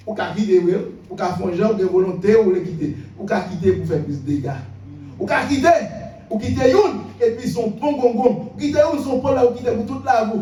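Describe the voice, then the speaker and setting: High-voiced Haitian preacher in echoey church. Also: male voice. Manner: fervent, repetitive preaching, swings between shouted bursts and low murmurs. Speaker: pastor. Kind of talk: sermon. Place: church hall. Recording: echoey church hall, mic at a distance.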